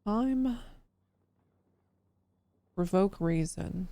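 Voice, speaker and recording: Woman, livestreamer, tired, hoarse-ish, swearing, unhurried drawl. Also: mid-range voice, mellow, dry recording. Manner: profane, mutters to herself while working. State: tired, losing her voice. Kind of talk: casual live-stream chat while coding.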